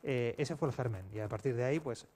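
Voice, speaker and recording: A man with an accent from Spain speaking unscripted on a panel in a halting, self-correcting way, as an audio fiction writer. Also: mid-range voice, warm tone. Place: public round-table event. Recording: clear background noise, live event ambience.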